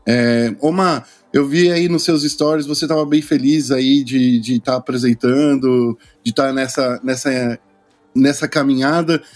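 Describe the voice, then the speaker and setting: A man's voice: medium pitch, fairly even intonation, measured pace, a little mellow, soft background music. A man hosting a casual video call interview, asking friendly, rambling questions. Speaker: interview host.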